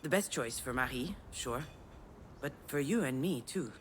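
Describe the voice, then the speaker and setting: An actress playing a self-deprecating, conciliatory sister in earnest, conversational game dialogue. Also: female voice, rumbling low, expressive, normal speed, a little hiss or hum. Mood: reassuring.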